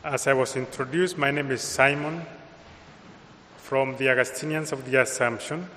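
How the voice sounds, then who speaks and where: A man with a Kenyan accent giving a homily in a slow, measured way with pauses. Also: mid-range voice, fairly even intonation, sharp tone, a little hiss or hum. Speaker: priest. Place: large church with long reverb. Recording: reverberant church hall sound.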